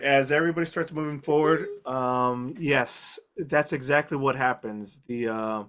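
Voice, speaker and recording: A man narrating as game master for an online tabletop game, loose and halting with many fillers. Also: on the high side.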